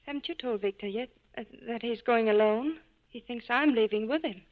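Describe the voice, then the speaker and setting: A woman's voice: fairly high pitch, normal speed, natural voice. An actress playing an anxious, tender married woman in love, hesitant, in 1940s radio style. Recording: dull, muffled 1940s radio recording.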